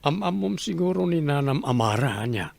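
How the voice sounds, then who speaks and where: A man's voice: fairly high pitch, very animated, normal speed, gravelly, darkish tone, slight background noise. A man with an Ilocano accent playing an earnest middle-aged father in a radio drama. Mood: earnest, tentative.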